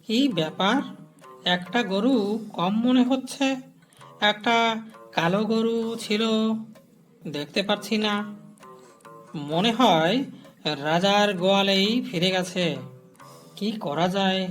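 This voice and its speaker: An actor playing a poor, stubborn, righteous Brahmin man in a cartoon, speaking in halting, declamatory phrases. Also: high-pitched, fairly bright tone. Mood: weary, puzzled. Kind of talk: cartoon dialogue.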